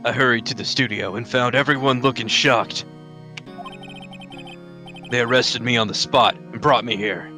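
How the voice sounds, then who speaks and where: A man voicing a gentle, mopey action-show star who speaks in a soft, sheepish way with a bright tone. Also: mid-range voice, fairly even intonation, normal speed, pauses often, faint music behind, lightly acted.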